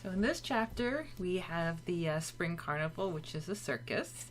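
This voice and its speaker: A woman from Montreal speaks as a podcast co-host. Her voice sits a little low for a woman. She chats casually, in a gushing and giggly way. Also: animated, moderate pace. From Montreal, Canada. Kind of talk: casual chat.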